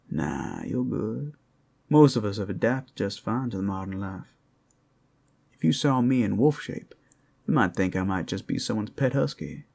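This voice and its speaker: A man's voice: fairly low pitch, sing-song, measured pace, long pauses, dark tone, full character voice. A man voicing a playful, flirtatious and friendly werewolf in an ASMR roleplay, teasing a single listener. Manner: teasing, smooth-talking, addressing one listener. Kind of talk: ASMR roleplay, intimate character acting.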